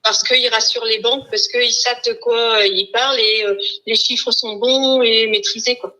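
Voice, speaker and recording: A woman from the Hauts-de-France with a bright, crisp voice works as a real estate agent pitching her services. She explains slowly and often pauses on fillers while keeping a confident tone. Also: fairly even intonation, low-quality recording. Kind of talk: sales call explanation.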